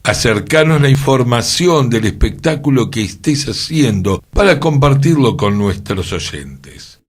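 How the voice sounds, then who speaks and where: A man's voice: medium pitch, big pitch swings, a little slow, slightly rough. A man with a Rioplatense Argentine accent presenting a radio programme. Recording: music playing behind the voice. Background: music.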